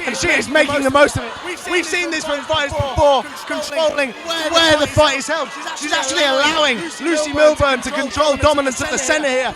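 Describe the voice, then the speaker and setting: Very high-pitched man calling boxing over crowd. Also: steady tone, brisk, long pauses. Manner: emphatic, repeats phrases. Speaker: boxing commentator. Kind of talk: excited live fight commentary. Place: boxing event, amid the crowd. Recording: loud crowd and music in noisy venue.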